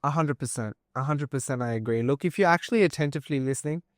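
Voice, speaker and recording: Male podcast guest from Tamil Nadu, native Tamil speaker, relaxed and reassuring, medium pitch. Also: fast, dry recording, clean studio sound. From Tamil Nadu, India. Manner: reassuring, uses "look" and "you know" a lot. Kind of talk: casual conversational podcast chat.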